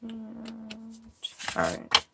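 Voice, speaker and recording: A woman's voice: a little high, wildly swooping pitch, extremely slow, noisy background. A woman with a stuffy cold, thinking aloud as she walks through making a handmade card. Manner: thinking aloud, musing and hesitant. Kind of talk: casual crafting tutorial talk.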